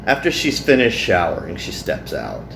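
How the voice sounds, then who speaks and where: A man's voice: low voice, huge pitch swings, normal speed, creaky, a bit bright. A man doing a casual visual novel playthrough, reading aloud and adding joking, self-deprecating commentary. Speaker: let's player. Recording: roomy echo, some background noise.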